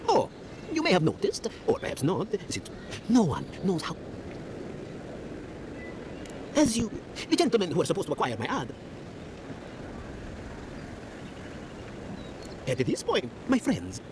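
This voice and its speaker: A man's voice: high voice, wildly swooping pitch, fairly quick. A man playing a scattered, self-important alchemist in a video game, musing and hesitant in ornate phrases. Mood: flustered. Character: rambling eccentric male alchemist, self-important yet scattered. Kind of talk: video game character dialogue. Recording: game audio with clear ambient noise.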